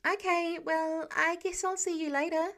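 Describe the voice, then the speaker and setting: A woman's voice: very high voice, steady tone, measured pace, fairly bright tone, voice pushed up, heavily acted. A woman voicing an eager, sheepish teenage boy superhero in a storybook reading, casual and hesitant.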